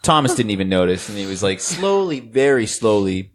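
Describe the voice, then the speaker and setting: A man's voice: on the low side, big pitch swings, fairly quick. A man co-hosting an improvised comedy podcast, chipping in with dry remarks. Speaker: podcast co-host.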